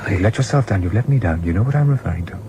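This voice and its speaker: A low-voiced, stern authoritarian leader. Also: male voice, animated, background noise. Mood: cold, reproachful. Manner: formal, deliberate, accusatory. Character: stern, possessive uncle and authoritarian party leader. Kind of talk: audio drama acting.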